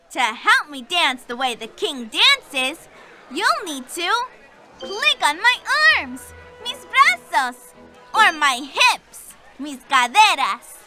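Upbeat cartoon girl hero, high and lively, slow and clear, music under. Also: full character voice. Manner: bright, encouraging, speaks to the player. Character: cheerful young explorer girl. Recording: game audio over clear music.

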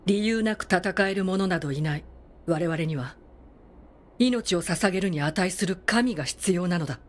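An actress playing a stern, weary android military commander in a video game, formal and grave. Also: female voice, lowish voice, normal speed, lightly acted. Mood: grave, resigned. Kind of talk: dramatic video game acting.

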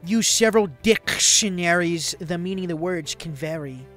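Guy doing young nerdy anime dude in glasses, high voice, chatty, light music behind. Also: moderate pace, a bit bright. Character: chatty bespectacled young male manga team member. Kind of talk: comedic visual novel dub.

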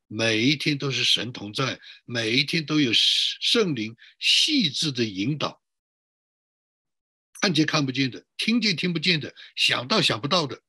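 A male pastor giving a sermon in an emphatic way, building up repeated lists and rhetorical questions, with a husky voice. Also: medium pitch, sing-song, a little slow, sharp tone, no echo. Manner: emphatic, repetitive listing.

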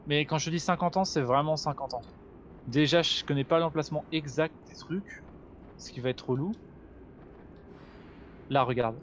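Guy explaining a game to his buddy, a bit higher voice, bit of hiss behind. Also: moderate pace, pauses often, highs cut off. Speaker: gamer, co-host of a gaming channel. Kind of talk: casual co-op gameplay chat.

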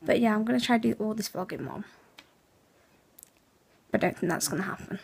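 A teenage girl from England, raspy and hoarse, chatting quietly in a late-night webcam vlog in her room, half-whispering so as not to wake the house. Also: mid-range voice, expressive, brisk. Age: teens. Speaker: vlogger. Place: bedroom at night, indoors. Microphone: laptop webcam.

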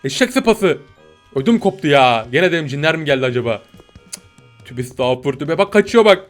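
A man doing playful, excitable gameplay commentary, joking with viewers in slangy exclamations. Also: a little high, fairly quick. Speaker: gaming video host. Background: game music.

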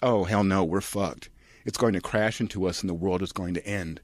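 A man's voice: low voice, moderate pace, no echo. A man voicing a fed-up tough-guy husband who talks bluntly and sarcastically in a comic script reading.

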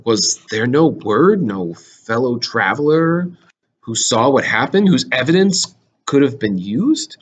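A man's voice: medium pitch, lively intonation, slow, husky, voice pushed down. A resolute king from a stage tragedy, read by a man, declaiming and probing. He questions firmly and speaks with formal weight.

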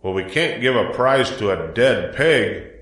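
A man's voice: fairly low pitch, expressive, unhurried, a bit bright, roomy sound. Man putting on a pompous fairground announcer. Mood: objecting, official. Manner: grandiose, formal, declamatory. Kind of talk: audiobook character voice.

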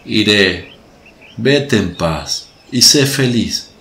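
An actor playing a compassionate, authoritative holy teacher in a radio play, solemn and measured. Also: male voice, on the low side, animated, pushed and bright, heavily acted. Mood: solemn, gentle. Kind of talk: Spanish-language radio play acting.

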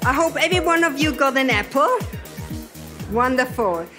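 A woman's voice: high voice, lively intonation, moderate pace, over music. Austrian woman leading a workshop talk. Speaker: seminar trainer. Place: event hall.

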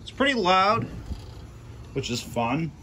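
A man's voice: high-pitched, slow pace, a little hiss or hum. A man casually walking through his railcar in a small garage, talking loosely with fillers as he films on his phone. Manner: relaxed, conversational, loose with fillers. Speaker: railcar hobbyist showing his car. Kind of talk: casual video walkthrough. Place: small wooden garage, indoors. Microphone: phone camera held by the speaker.

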